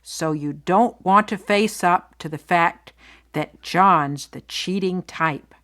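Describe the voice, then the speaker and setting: A woman playing a concerned, blunt best friend in soap-opera dialogue for English learners, speaking deliberately and clearly. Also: fairly low pitch, a bit bright. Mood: supportive, firm.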